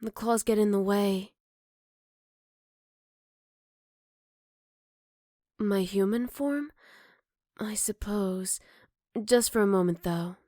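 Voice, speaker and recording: A woman playing a gentle, caring motherly werewolf in an ASMR roleplay, soft-spoken with hesitant pauses and tender teasing. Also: mid-range voice, dry recording, clean studio sound, natural voice. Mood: shy, tender. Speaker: voice actor. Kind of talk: ASMR roleplay addressed to the listener.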